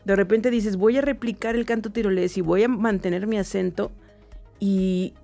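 A woman, co-hosting a casual music podcast and telling the story with lively, animated talk. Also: medium pitch, normal speed, vocal fry. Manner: animated, storytelling with many "o sea" fillers. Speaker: podcast co-host.

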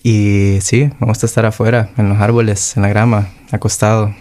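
A man's voice: on the low side, level tone, brisk, a little mellow. A man from Honduras, a guest musician, chatting casually with frequent hesitations. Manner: hesitant, many fillers. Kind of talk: casual conversation.

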